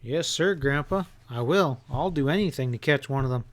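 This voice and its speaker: A man voicing an earnest, excitable country boy in an audiobook story, speaking in a folksy way. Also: mid-range voice, moderate pace, natural voice. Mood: eager. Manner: eager, protesting, folksy.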